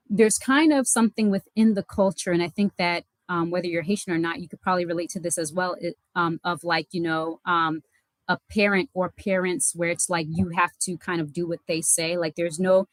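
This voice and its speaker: A woman's voice: lowish voice, no echo. A young adult woman from the United States, a life coach and channel host, talking casually and reflectively to camera with many fillers and self-corrections. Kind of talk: reflective personal talk to camera.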